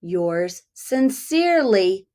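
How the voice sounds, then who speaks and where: A woman teacher reading a picture book aloud to young children, speaking deliberately and pausing to ask them questions. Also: mid-range voice, big pitch swings, a little mellow.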